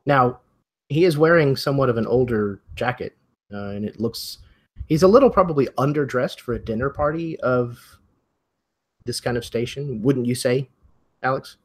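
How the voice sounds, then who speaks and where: A man acting as game master, narrating a mystery role-playing game in a conversational, improvised way with a husky voice. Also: mid-range voice, normal speed.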